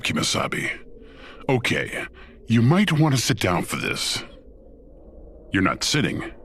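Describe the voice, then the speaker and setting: An actor playing a smug, flippant Satan in an audio drama, glib and slangy like a scheming boss. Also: male voice, lowish voice, fairly bright tone, a little hiss or hum, exaggerated voice.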